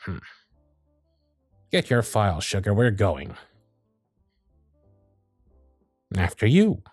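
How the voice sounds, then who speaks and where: A man's voice: lowish voice, big pitch swings, a little slow, darkish tone, husky, no echo, clean studio sound, faint music behind, full character voice. A man playing a proud, sardonic but protective supervisor in a scripted ASMR roleplay, with theatrical, exasperated phrasing. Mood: grumbling, defiant. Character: proud, sardonic male workshop supervisor, protective of his intern. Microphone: close studio mic, dry.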